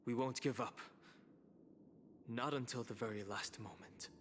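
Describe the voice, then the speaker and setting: A man's voice: mid-range voice, measured pace, fairly bright tone, a little hiss or hum, lightly acted. Game role: male actor as determined young hero, mid-range voice, earnest delivery, long hall reverb. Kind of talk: video game voice acting. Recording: long reverb, light background ambience.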